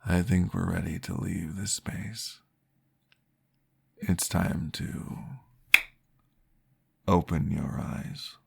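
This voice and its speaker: A man voicing a calm, patient male vampire therapist, soft-spoken and reassuring, often dropping into a whisper in an ASMR roleplay. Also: sits low, very slow, pauses often, a little hiss or hum, heavily acted. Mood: soothing whisper. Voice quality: gravelly, husky. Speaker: therapist guiding a listener.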